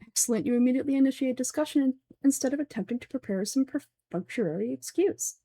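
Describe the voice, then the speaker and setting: A woman's voice: high voice, expressive, fast, dark tone, dry recording. Lady doing pompous old scholar villain guy, formal and fanatical, game dialogue, close dry sound. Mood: coldly courteous. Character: pompous, fanatical older male grand sage villain.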